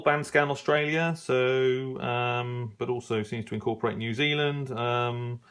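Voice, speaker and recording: A man giving a casual, unscripted review as a hobbyist, hesitantly reading out listings and commenting as he goes. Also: medium pitch. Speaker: radio hobbyist reviewing an old magazine. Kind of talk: casual unscripted review, leafing through pages.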